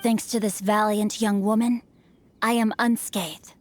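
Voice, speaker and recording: A woman voicing a refined, bookish young nobleman in a video game, formal, eloquent and calmly ironic. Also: mid-range voice, unhurried, faint music behind, close to an everyday voice. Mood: polite. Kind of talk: video game character acting.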